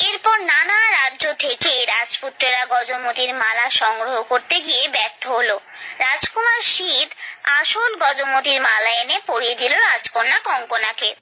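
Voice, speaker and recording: A woman's voice: high-pitched, lively intonation, a little slow, sharp tone, rough recording. A woman narrating a scripted children's story, expressively and directly addressing her young listeners.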